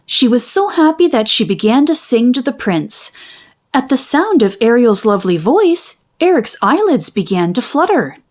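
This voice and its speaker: A woman reading a children's story aloud in expressive, dramatic, carefully phrased narration. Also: a little high, normal speed. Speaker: storybook reader.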